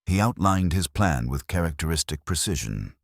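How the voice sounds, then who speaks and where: A man reading a detective mystery as scripted narration, in a measured, formal storytelling manner. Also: extremely low pitch, very animated, vocal fry, dry recording, clean studio sound.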